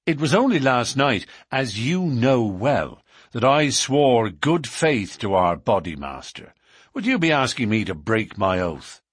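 A man's voice: fairly low pitch, sing-song, moderate pace, husky, no echo, studio quality, full character voice. A man voicing a stern, bold and wary newcomer in an audiobook, firm and blunt in manner. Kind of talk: audiobook character dialogue.

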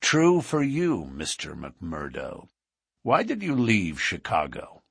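A man voicing a menacing, gruff lodge boss in an audiobook, blunt and threatening as he interrogates. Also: sits low, wildly swooping pitch, slow, dry recording, studio quality, voice pushed down, heavily acted. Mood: suspicious. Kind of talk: audiobook character dialogue.

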